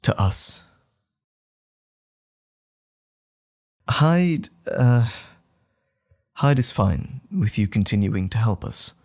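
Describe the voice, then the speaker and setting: A man playing a gentle, troubled doctor in an audio drama, speaking earnestly and formally with some hesitation. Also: medium pitch, expressive, takes it slowly. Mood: hesitant, grateful. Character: gentle, troubled, apologetic doctor with a wild alter ego.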